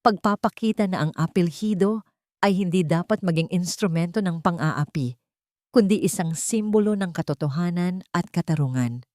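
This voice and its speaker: A woman's voice: lowish voice, normal speed, a little mellow, no echo, clean studio sound, close to an everyday voice. A woman narrator as a firm, earnest justice-seeking heroine. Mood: resolute. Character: brave, calm young woman seeking justice.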